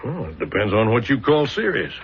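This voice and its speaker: A man's voice: mid-range voice, sing-song, brisk, slight background noise. An actor playing a troubled, defensive traveling salesman in a 1970s radio drama, protesting hesitantly and emphatically. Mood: defensive, evasive. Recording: dull, lo-fi radio drama recording.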